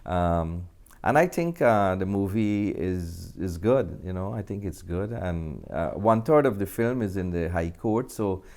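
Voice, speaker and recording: Guyanese man, middle-aged, low-pitched voice. Film director in interview, conversational, thinks as he goes. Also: lively intonation, a little slow, a little mellow.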